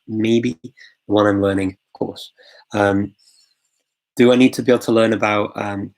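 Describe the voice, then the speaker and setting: A man's voice: fairly low pitch, vocal fry, poor audio. A man hosting a casual live-stream Q&A, chatty and full of fillers, chuckling often and greeting viewers as they join. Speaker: host of a live language-learning Q&A. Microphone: phone.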